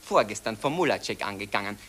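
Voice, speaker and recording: An actor playing a callous, jaunty Austrian army officer who gossips and boasts in breezy Viennese slang, in the style of a 1940s German radio play. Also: male voice, on the low side, animated, fast talker, a bit bright. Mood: boastful, breezy.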